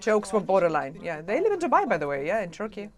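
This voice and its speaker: A woman hosting an interview, chatty and enthusiastic with many pauses. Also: medium pitch, big pitch swings. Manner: chatty, enthusiastic, halting with fillers. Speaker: host. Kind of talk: interview chat.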